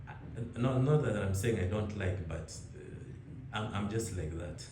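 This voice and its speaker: A young man with a Kenyan accent talks in a relaxed, rambling way, often restarting his words. His voice sits low and dark. The room has a strong echo. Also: unhurried, a little hiss or hum. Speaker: interviewee. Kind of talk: casual conversation.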